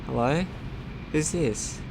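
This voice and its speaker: A man's voice: fairly high pitch, slow pace, vocal fry. A man giving casual game commentary, muttering to himself and talking back to the game. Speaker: gamer commentating on own play. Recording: loud constant background noise.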